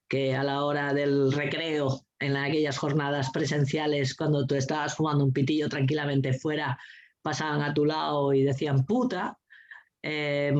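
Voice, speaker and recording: A woman giving a lively, anecdotal talk as a guest speaker, blunt and sarcastic, swearing freely and laughing often. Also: sits low. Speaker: guest speaker, teacher trainer. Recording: dull lo-fi recording.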